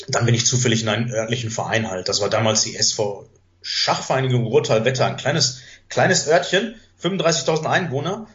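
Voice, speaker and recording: A man in his fifties, a podcast guest with Greek as his mother tongue, talks at a middle pitch through a muffled, lo-fi recording. He tells his story in a loose, rambling way, often stopping on fillers and correcting himself mid-word. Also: a bit bright. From Germany. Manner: rambling, many hesitation fillers and self-corrections. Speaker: podcast guest, chess master. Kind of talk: casual conversational storytelling. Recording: dull lo-fi podcast audio.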